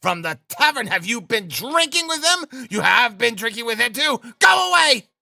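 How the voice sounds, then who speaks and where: A man's voice: very high voice, big pitch swings, normal speed, gravelly, bright, forceful tone, dry recording, pitched above the performer's own voice, full character voice. A man voicing a frenzied, despairing poor wife in an audiobook, shrieking and accusing frantically. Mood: furious reproach. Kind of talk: audiobook character acting.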